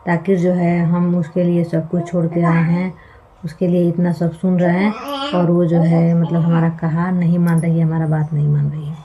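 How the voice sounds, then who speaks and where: A woman's voice: on the low side, mellow, low-quality recording. A woman vlogger giving casual opinion commentary to her viewers in an earnest, pleading manner, repeating points for emphasis. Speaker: video blogger commenting on online couple drama.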